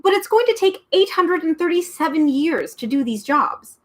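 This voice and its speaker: A woman's voice: very high voice, expressive, normal speed, voice pushed up. A woman voicing a polite, earnest young boy in a storybook reading.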